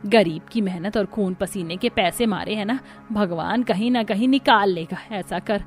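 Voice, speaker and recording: A woman's voice: medium pitch, normal speed, soft background music, close to an everyday voice. A woman voicing a poor, long-suffering elderly mother-in-law in a cartoon story. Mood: cursing, bitter. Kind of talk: Hindi cartoon story voice acting.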